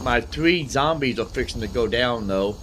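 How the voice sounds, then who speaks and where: A man's voice: medium pitch, expressive, normal speed, a bit bright, slight background noise. A man hosting a gaming video with casual commentary, thinking aloud and repeating himself. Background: game music.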